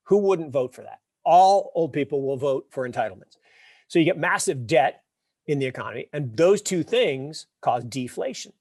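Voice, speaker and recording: A man in his fifties, a podcast guest, telling wry stories and explaining ideas with emphatic, animated delivery. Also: fairly high pitch, moderate pace, slightly rough, no room sound, studio quality. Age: 50s. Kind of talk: casual interview talk.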